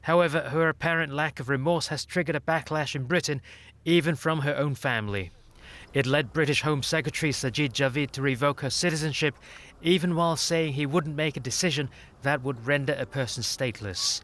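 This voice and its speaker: A man's voice: a little high, a little fast, a bit bright. A man reading scripted news reports as an anchor.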